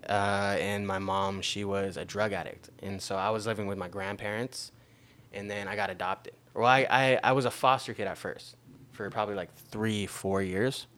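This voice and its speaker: Young american guy, lowish voice, telling personal stuff casually, kinda halting, keeps circling back. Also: fairly even intonation, a bit bright. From Long Beach, California, United States. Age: young adult. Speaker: podcast guest. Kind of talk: casual conversation, personal storytelling.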